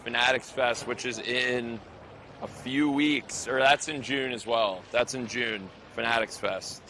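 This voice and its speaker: A man co-hosting a livestream, joking around and talking loud. His voice is sharp and bright. Faint street noise sits behind him. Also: medium pitch, fairly even intonation, a little slow. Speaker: co-host. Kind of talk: casual livestream chat.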